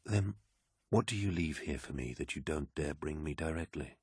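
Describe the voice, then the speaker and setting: A man voicing a commanding, brooding, proud warlord in an audiobook, with a grave, imperious, husky delivery. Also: very deep voice, moderate pace, darkish tone, no echo, studio quality, heavily acted.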